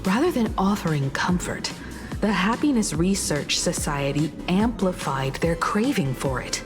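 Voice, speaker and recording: An actress voicing a calm, wise woman in a video game, speaking slowly and reflectively. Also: on the low side. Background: game music.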